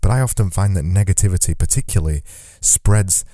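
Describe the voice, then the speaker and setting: Podcast host, male, low dark voice, earnest and reflective, dry close sound. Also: a little fast, dry recording. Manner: earnest, reflective, says he lifts his normally monotone voice. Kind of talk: solo podcast monologue.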